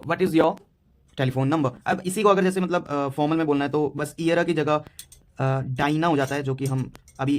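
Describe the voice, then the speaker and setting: A nineteen-year-old man with an Indian accent, teaching a beginner German lesson in a mix of Hindi and English, halting and repeating himself with many fillers. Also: a little high. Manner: slow, halting delivery with many fillers and repetitions.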